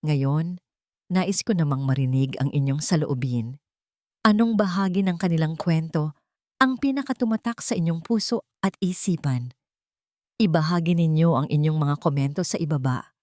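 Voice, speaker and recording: A woman's voice: deep voice, normal speed, darkish tone, no room sound, clean studio sound. A woman narrating a scripted romantic drama story as host, in an earnest, sentimental manner.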